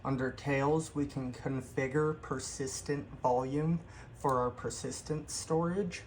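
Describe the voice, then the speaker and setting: A man hosting a tech tutorial, calmly explaining each step of a computer walkthrough with occasional ums. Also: medium pitch, slight background noise, poor audio. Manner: unhurried step-by-step explaining with fillers. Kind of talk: screen-recorded how-to walkthrough.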